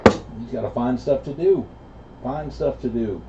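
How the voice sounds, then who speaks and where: A man's voice: mid-range voice, measured pace. A middle-aged man chatting casually as an operator over CB radio. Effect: CB radio transmission. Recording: muffled CB radio reception, loud static noise.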